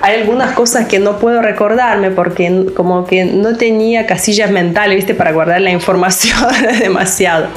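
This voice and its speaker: A woman from Lithuania with a Lithuanian accent, a psychologist speaking in an interview, halting and searching for words with frequent restarts. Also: mid-range voice, fairly even intonation, soft background music. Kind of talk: casual interview talk.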